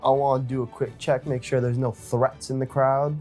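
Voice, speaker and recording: A man's voice: medium pitch, little pitch movement, normal speed, warm tone, soft background music. A man chatting casually as a player in a tabletop fantasy game.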